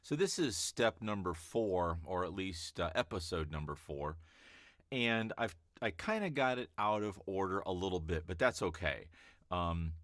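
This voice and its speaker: A man coaching voice-over hopefuls in a relaxed, chatty teaching talk with a calm, easy-to-listen-to voice. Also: low voice, a little slow, no echo, studio quality. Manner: relaxed and chatty, with fillers and restarts. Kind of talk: casual teaching vlog.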